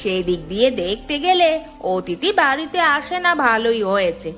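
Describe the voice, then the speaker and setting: A woman's voice: on the high side, big pitch swings, normal speed, fairly bright tone. A woman voicing a chatty, hospitable village housewife in a cartoon. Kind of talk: cartoon story acting.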